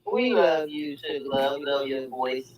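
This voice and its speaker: A man co-hosting a livestream who reads viewer comments aloud in a relaxed way. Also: medium pitch, moderate pace, crowd noise.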